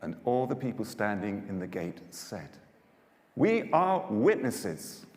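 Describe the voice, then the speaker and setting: A man performing a dramatised scripture narration in a theatrical, declamatory manner with deliberate pauses, live in a large church hall. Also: fairly low pitch, sing-song, darkish tone. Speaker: Bible storyteller performer. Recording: echoey church hall with long reverb.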